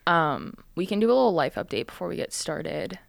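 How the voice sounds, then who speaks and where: A young woman in her twenties with a lowish, slightly raspy voice, chatting loosely as a podcast co-host. She rambles and restarts with plenty of fillers. The sound is dry and close, from a small studio. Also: animated, fairly quick, no room sound. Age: 20s. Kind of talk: casual chat.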